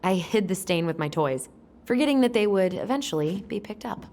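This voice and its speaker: An actress playing a teasing, supportive young sister in a video game, with an American accent. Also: female voice, medium pitch, lively intonation, fairly quick, darkish tone, a little hiss or hum, lightly acted. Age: young adult. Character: teasing, supportive young woman, loyal sibling. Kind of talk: naturalistic game dialogue.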